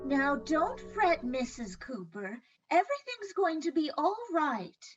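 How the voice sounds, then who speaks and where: A woman's voice: high-pitched, big pitch swings, measured pace, muffled recording, faint music behind. A woman playing a soothing, sympathetic confidante in old-time radio comedy. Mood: consoling.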